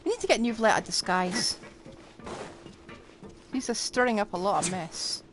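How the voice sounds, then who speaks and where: A woman's voice: medium pitch, lively intonation, moderate pace, background noise. A woman game streamer giving casual live commentary, thinking aloud as she plays. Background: game music.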